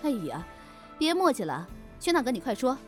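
A woman's voice: high voice, wildly swooping pitch, a little fast, soft background music. A woman playing a shrewd, breezy keeper of a demon inn, teasing and bossy in an offhand way, in an audio drama. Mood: impatient.

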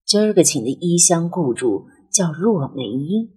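A woman a breathy voice and reads literary narration in a measured, expressive, dramatic way, as an audiobook narrator. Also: fairly low pitch, low-quality recording. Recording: music under the voice. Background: background music.

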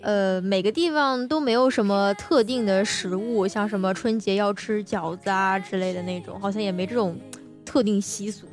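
A woman hosting a bantering radio chat show, playfully teasing and quick to laugh. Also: mid-range voice. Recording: music bed under the talk.

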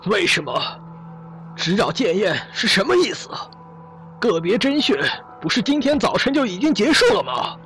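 A man's voice: sits high, very animated, moderate pace, a bit bright, faint music behind, exaggerated voice. An actor playing an agitated, protective man in a radio drama, insistent and indignant as he challenges the police. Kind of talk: broadcast drama acting.

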